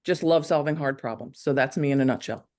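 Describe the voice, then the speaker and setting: Brisk, enthusiastic woman panelist. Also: extremely low pitch, a little mellow. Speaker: panelist, fractional CPO. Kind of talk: conference panel talk. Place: conference stage.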